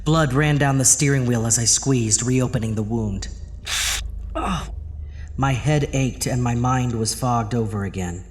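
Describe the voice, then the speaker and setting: A man's voice: medium pitch, unhurried, a little hiss or hum, natural voice. An actor playing a meek, haunted man in a horror audio drama, narrating his own story with a hesitant, anxious delivery. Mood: fogged, uneasy. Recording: music behind the voice.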